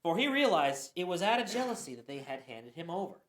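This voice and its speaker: A woman giving a dramatic Bible reading, expressive, with occasional stumbles. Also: fairly low pitch, brisk. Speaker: scripture reader. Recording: roomy echo.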